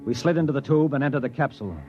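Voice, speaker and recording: An actor playing a hard-bitten, short-tempered space explorer in a 1950s radio drama, blunt and tough-talking. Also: male voice, mid-range voice, very fast, natural voice. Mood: grim narrating. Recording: dull old radio recording with music.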